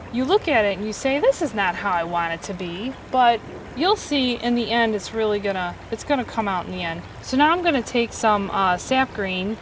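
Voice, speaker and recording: A woman in her forties teaching a quick watercolor sketch outdoors, explaining each step in a relaxed, encouraging way. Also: medium pitch. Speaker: watercolor instructor. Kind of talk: outdoor painting demonstration. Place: outdoors by a stream. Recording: faint stream noise behind.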